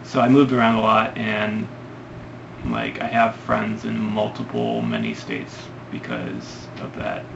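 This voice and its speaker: A man's voice: on the low side, a little slow, creaky. A young man from Ohio answering viewer questions in a casual vlog, hesitant and rambling with many ums. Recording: lo-fi, noisy home recording.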